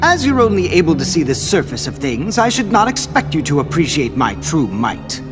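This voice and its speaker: A man's voice: fairly high pitch, very animated, normal speed. A man playing a haughty, easily outraged villain scientist in a game cutscene, pompous and theatrical. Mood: scornful. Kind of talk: video game cutscene acting. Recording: scary music under the voice.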